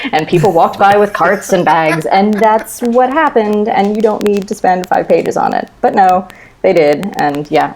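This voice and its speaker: A woman with a slightly low voice talks casually as a podcast guest in a book discussion. She is dry and sarcastic and swears freely. There is noticeable noise behind her. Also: gravelly, a little mellow, low-quality recording.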